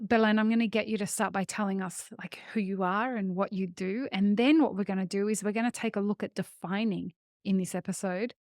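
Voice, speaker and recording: A woman's voice: medium pitch, a little mellow, no room sound. Chatty podcast host woman, dry sound. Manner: enthusiastic, addresses listeners as folks. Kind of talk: conversational podcast hosting.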